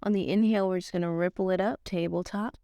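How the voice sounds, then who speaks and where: A woman with a stuffed-up, slightly nasal voice, leading a slow guided yoga class in a casual, slangy way. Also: lowish voice, no echo. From Nigerian-American. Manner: casual slangy teacher, slow calm cues. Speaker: yoga teacher.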